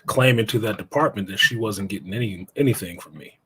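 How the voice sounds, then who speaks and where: A man's voice: on the low side, quick pace, pushed and bright, slightly rough. A middle-aged man speaking as an interview guest, telling his own story in a blunt, plainspoken way and cursing now and then.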